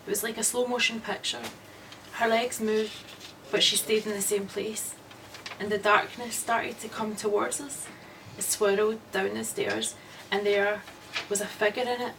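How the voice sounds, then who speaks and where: An actress playing a haunted woman who narrates her nightmare slowly and dramatically in an old radio suspense drama. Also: fairly high pitch. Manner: slow, dramatic, dread-laden. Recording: distant, noisy old radio recording.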